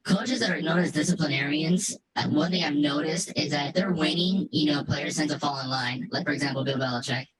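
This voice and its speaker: A man with a robotic voice effect asking halting, wordy questions as a sports interviewer. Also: on the high side, a crowd in the background, low-quality recording. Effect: robotic voice modulation. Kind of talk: conversational interview.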